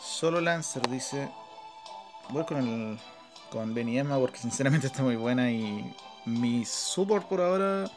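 A man's voice: medium pitch, lively intonation, unhurried. Chilean man commentating over game music. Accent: Chilean Spanish. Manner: informal, thinking aloud. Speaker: gaming video host. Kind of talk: casual gameplay commentary.

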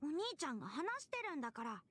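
A woman voicing an excitable, innocent little boy in an anime game. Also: sits high, rapid-fire. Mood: excited, hushed.

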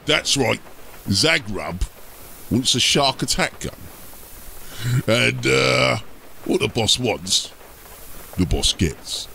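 Very gravelly, gruff ork mechanic. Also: male voice, mid-range voice, lively intonation, slow pace, pauses often, sharp tone, a little hiss or hum, full character voice. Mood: urgent, bossy. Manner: rough, bellowing, ungrammatical slangy speech. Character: gruff exasperated male ork mechanic chief, crude and scheming.

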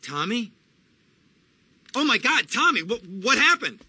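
An actor playing a panicky, neurotic crooked lawyer in a video game, babbling and repeating himself nervously. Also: male voice, extremely high pitch, very animated, a little slow, sharp tone, husky, dry recording, exaggerated voice. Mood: panicked, jittery. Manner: jittery, babbling, repetitive. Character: panicky, neurotic, cowardly male mob lawyer. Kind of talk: video game cutscene acting.

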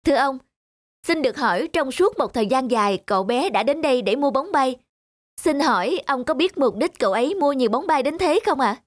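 A woman's voice: high-pitched, expressive, fairly quick, no room sound. A woman voicing a formal, heartfelt television reporter in a cartoon, delivering a news report. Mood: formal, inquiring. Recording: music behind the voice.

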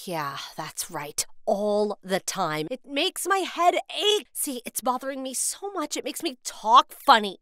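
A woman acts a popular, self-assured office lady of thirty in a manga dub. Her high voice moves expressively up and down, coaxing and taking charge, recorded close and dry. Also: big pitch swings, moderate pace, fairly bright tone, no room sound. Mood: weary. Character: confident, cheerful thirty-year-old office beauty, take-charge and persuasive.

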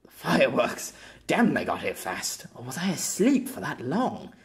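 A man voicing a confused, grumbling middle-aged novelist in an audiobook, halting and repeating his words. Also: mid-range voice, wildly swooping pitch.

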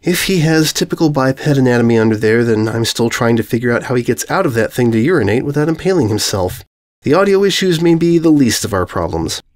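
A brainy pony mare played by a man, tired of it all. The storybook delivery is exasperated and analytical, with varied pitch. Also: expressive, fairly quick, lightly acted. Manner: dismal, exasperated, sighing. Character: weary, analytical female purple pony.

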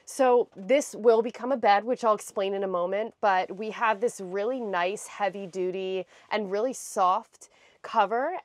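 A woman's voice: medium pitch, no echo, studio quality. A young American woman giving a chatty, explanatory walkthrough tour inside a small camper van, speaking casually into a clip-on mic. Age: young adult. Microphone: clip-on lapel mic.

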